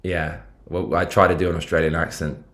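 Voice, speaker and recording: A man's voice: deep voice, level tone, fairly quick, fairly bright tone. A man in his forties with a London accent, hosting a rambling, profane political livestream and reading out chat comments. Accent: London English. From London, England. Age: 40s.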